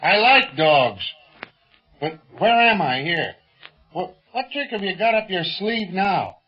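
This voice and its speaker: An actor playing a scrappy, hot-headed, folksy pilot sidekick, blustering in slangy exclamations in 1930s radio serial style. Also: male voice, sits high, expressive, slow, breathy edge, faint music behind, exaggerated voice. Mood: defiant, indignant. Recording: muffled 1930s radio recording.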